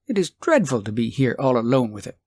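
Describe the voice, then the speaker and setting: A man's voice: medium pitch, very animated, brisk, a little mellow, husky, no room sound. A man voicing a poor old wife, timid, fretful and anxious, in an audiobook story. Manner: sighing, fretful worrying.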